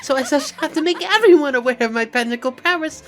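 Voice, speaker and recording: A woman's voice: sits high, lively intonation, quick pace, faint music behind. A woman playing a proud, boastful young noblewoman in a fantasy game, speaking in a haughty, formal, theatrical way. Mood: haughty, urgent. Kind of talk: dramatic fantasy game acting.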